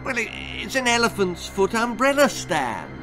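A man's voice: high voice, wildly swooping pitch, normal speed, over music, pitched above the performer's own voice, low-quality recording. A man voicing a proud, eccentric storybook father with an English accent, blustering and callously cheerful. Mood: proud, breezy. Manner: blustering, stammering eagerness.

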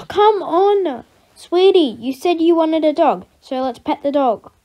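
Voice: sits high, expressive, takes it slowly, darkish tone, muffled recording, full character voice. A child voicing a patient mother who coaxes her scared daughter, in a pretend play skit. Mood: pleading.

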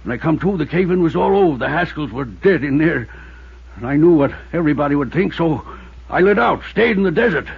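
A desperate old prospector in a vintage radio play. The voice is high and very husky, with gravel in it. He talks in a folksy, suspicious, agitated way. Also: animated, a little fast, full character voice. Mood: agitated recounting. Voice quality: raspy, creaky. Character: hunted desperate old desert prospector holding a gun. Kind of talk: 1950s radio drama acting. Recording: muffled lo-fi 1950s radio recording.

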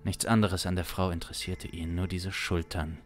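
A man in his thirties narrating an improvised suspense story in a deliberate, dramatic way, close to a studio microphone. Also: sits low, level tone, faint music behind. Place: small indoor streaming studio. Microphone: close studio microphone on boom arm.

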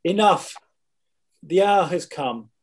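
A man reads as a solemn teacher in a dramatized scripture reading. His voice sits somewhat high for a man. He declaims each line in a measured way. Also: expressive, breathy edge, full character voice. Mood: reproachful. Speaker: scripture reader.

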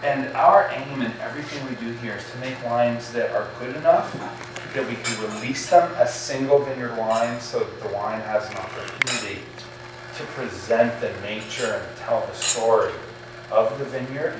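A man's voice: medium pitch, moderate pace, background noise. Echoey, distant middle-aged man explaining thoughtfully. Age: 50s. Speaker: winemaker guest. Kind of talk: relaxed explanatory conversation. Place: large indoor winery barrel room and tasting bar. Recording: very echoey room, distant mic, music underneath. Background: clear background music.

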